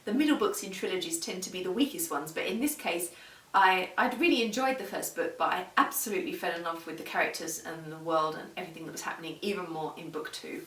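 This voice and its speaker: Roomy, distant mic; woman book vlogger, lowish voice, casual and enthusiastic. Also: fast, a bit bright. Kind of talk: casual, chatty book talk to camera. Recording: roomy echo, distant microphone.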